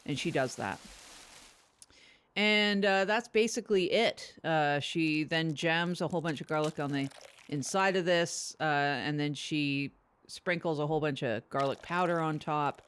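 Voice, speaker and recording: A woman's voice: low voice, measured pace, fairly bright tone, smooth. A woman playing a blunt, casual podcast producer, rambling matter-of-factly through absurd improvised comedy.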